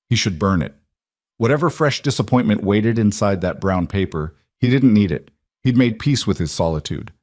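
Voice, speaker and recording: A man narrating a scripted story in a measured, even storytelling manner. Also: lowish voice, dry recording, clean studio sound.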